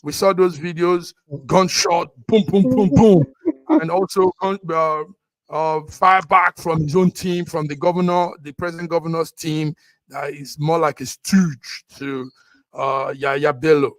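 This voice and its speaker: A man hosts a news discussion in a Nigerian accent. His voice sits a little high for a man. He moderates in a halting way, pausing to search for the next word. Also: fairly even intonation, rough recording. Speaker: host.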